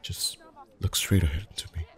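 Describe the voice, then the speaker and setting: A man playing a friendly, chatty festival face painter in an ASMR roleplay, soft-spoken, reassuring and gently flirtatious. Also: normal speed, close to an everyday voice. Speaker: voice actor.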